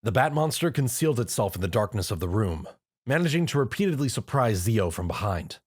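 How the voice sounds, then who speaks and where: A man's voice: fairly low pitch, big pitch swings, fairly quick, slightly rough. A man reading a fan-written action story aloud as narrator. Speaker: reader.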